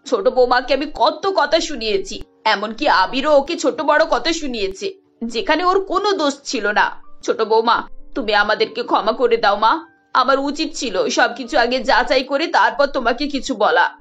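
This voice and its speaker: A woman's voice: on the high side, animated, normal speed, a bit bright, lo-fi sound, faint music behind, voice pushed down. A woman voicing an earnest, remorseful older in-law in a family cartoon. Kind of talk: cartoon character voice.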